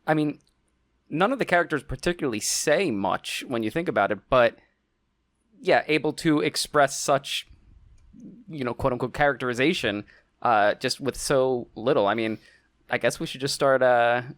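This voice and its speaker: Teasing young man with a deadpan delivery. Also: mid-range voice, moderate pace, dry recording, clean studio sound. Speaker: podcast co-host. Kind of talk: casual chat.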